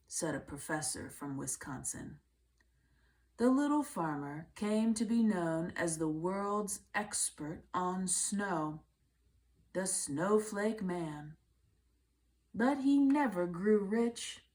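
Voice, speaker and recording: A woman reading a children's picture book aloud as narration, with measured, deliberate phrasing. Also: on the low side, creaky, darkish tone. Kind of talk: scripted read-aloud narration.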